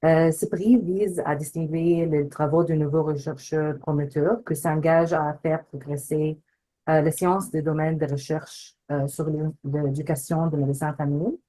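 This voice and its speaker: A woman, not a native speaker of the language, introducing a prize over a video call, halting and searching for words. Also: deep voice, steady tone, warm tone, rough recording. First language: not French. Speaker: prize committee co-chair. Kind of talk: webinar introduction.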